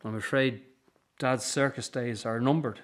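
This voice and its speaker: An actor in a radio drama playing a weary, earnest circus boss and troubled son, with an Irish accent. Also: male voice, medium pitch, steady tone, a little slow, lightly acted. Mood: sombre.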